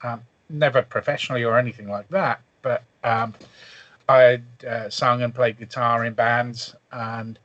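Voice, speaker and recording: Male guest, mid pitch, relaxed and chatty, muffled dry remote audio. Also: measured pace, no room sound. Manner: relaxed storytelling with many fillers. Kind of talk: casual panel chat.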